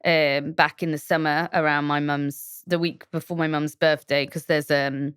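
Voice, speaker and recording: A woman from Shropshire answering questions as a designer guest on a podcast. She talks reflectively, pausing often with fillers and restarting her sentences. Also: deep voice, level tone, fairly bright tone, clear, resonant tone, no room sound, studio quality. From England, Shropshire.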